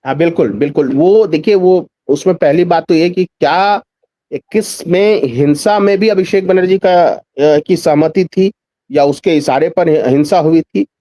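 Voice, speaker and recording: A man's voice: fairly high pitch, unhurried, mellow, no echo. A man giving extended political commentary as a guest in a news discussion, emphatic, repeating phrases and posing rhetorical questions.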